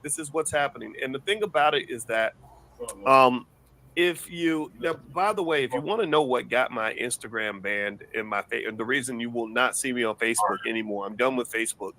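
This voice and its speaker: A man hosting a news commentary show. He talks in an animated, rambling way and often repeats words. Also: mid-range voice, low-quality recording. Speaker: host.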